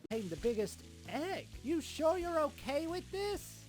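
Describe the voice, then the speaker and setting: A performer acts out a clueless, crude little boy from a comedy cartoon dub. The delivery is lively and swooping, full of rambling deadpan asides, with music underneath. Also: high-pitched, unhurried. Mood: chatty, clueless. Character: young boy narrating, crude, dim, cheerfully clueless. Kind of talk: comedic fan-dub cartoon narration and dialogue.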